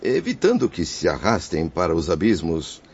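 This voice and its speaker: Actor as deep-voiced remorseful father's spirit. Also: big pitch swings, moderate pace, breathy edge, exaggerated voice. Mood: grateful. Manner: solemn, declamatory, emotive. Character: remorseful father's spirit, grateful yet tormented by guilt. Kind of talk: radio soap acting. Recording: dull lo-fi radio drama recording.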